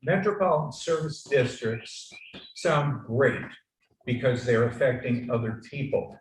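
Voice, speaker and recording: A man addresses a town board during public comment, his loud voice turning earnest and forceful. He is picked up from a distance in an echoey meeting room. Faint noise runs underneath. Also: medium pitch, animated, a little slow. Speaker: resident giving public comment. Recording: echoey hall, mic far off, faint noise.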